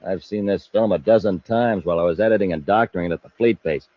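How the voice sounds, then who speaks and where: A scheming, boastful male crook in a vintage space serial. His voice sits low, and the old recording is dull and lo-fi. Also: quick pace. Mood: smug, boastful. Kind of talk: old-time radio sci-fi acting.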